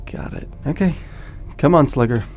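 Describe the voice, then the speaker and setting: A man's voice: on the high side, moderate pace, darkish tone, slight background noise, lightly acted. An actor playing an easygoing, teasing, protective young man in a naturalistic audio drama. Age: young adult.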